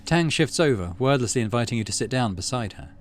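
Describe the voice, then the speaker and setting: A man's voice: medium pitch, animated, fast, slight background noise. A middle-aged man playing through a game, chatting casually and reading its narration aloud. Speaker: gaming channel host, player.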